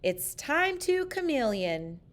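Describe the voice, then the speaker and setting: A woman reader voicing a silly, cheerful lady schoolteacher in a children's storybook, announcing things in a bright sing-song manner. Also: high-pitched, big pitch swings, slow, voice pushed up, full character voice.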